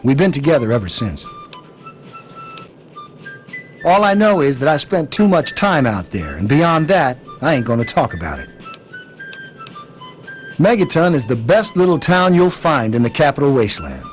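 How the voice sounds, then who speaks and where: A man's voice: fairly high pitch, sing-song, normal speed, faint music behind. An actor playing a folksy wasteland trader and protective father figure in a video game, with an American accent and a drawling manner. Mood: somber, guarded.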